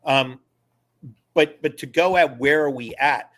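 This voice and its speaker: A man, a former government attorney, speaks as a podcast guest in a casual, animated, emphatic way as he explains. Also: medium pitch, measured pace. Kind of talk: casual interview conversation.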